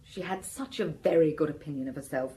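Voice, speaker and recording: Woman acting blunt french lady abt forty, deep for a woman, dry sardonic unsentimental, radio drama. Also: fairly quick, mellow, slight background noise, natural voice, poor audio. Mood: frank, reminiscing.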